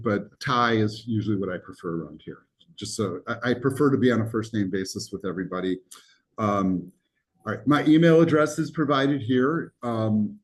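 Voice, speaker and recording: A man's voice: fairly low pitch, a little mellow, low-quality recording. A man who grew up speaking Greek teaches a college class in a classroom, talking casually with jokes, asides and frequent ums. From United States. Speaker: college instructor.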